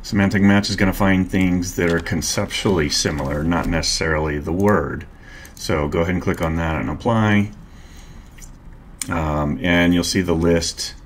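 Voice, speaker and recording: A middle-aged man narrating a screen-share tutorial, calmly explaining each step and click as a marketing instructor. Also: low voice, slight background noise. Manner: calm, explanatory, walks through clicks step by step.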